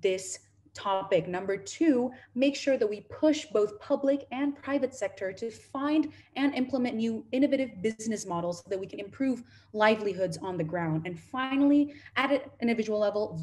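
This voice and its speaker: A woman's voice: medium pitch, animated, moderate pace, darkish tone. A twenty-three-year-old woman on a video call, giving an organized summary of points. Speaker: community builder.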